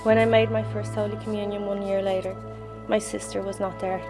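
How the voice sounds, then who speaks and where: A middle-aged woman with a Dublin accent reads a prepared memorial tribute to her sister in a measured, solemn way. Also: medium pitch, level tone, over music. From Ireland. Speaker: bereaved sister giving a tribute. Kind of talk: reading a prepared memorial statement.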